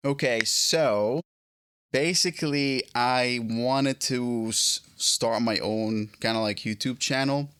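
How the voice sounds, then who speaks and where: A man from Egypt in his late thirties, a guest telling his story in a casual interview, halting, with many fillers and restarts. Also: mid-range voice, fairly bright tone, clear, resonant tone. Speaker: guest guitarist.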